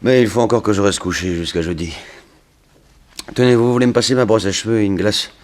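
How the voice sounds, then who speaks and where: An actor playing a weary, plaintive son of a wealthy family in a 1960s radio detective drama. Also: male voice, on the low side, fairly quick, a little mellow, natural voice. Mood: exasperated. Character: grieving son of a poisoned rich woman, weary, plaintive.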